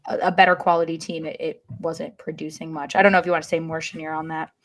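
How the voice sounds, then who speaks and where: A woman's voice: low-pitched, flat delivery, fast talker. A woman giving casual sports analysis as a podcast panelist, rephrasing as she goes.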